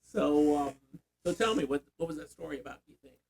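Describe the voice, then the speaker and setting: A man's voice: on the high side, lively intonation, fast talker, vocal fry, darkish tone, breathy edge. An older man, a grandfather, leading a casual Bible reading, thinking aloud and prompting with questions. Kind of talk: casual teaching chat.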